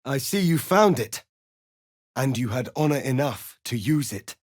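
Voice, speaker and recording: An actor playing a proud, righteous rebel warrior prince in a fantasy video game, speaking in a solemn, formal, declamatory way. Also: male voice, mid-range voice, animated, a little slow, no echo, studio quality. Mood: solemn, earnest.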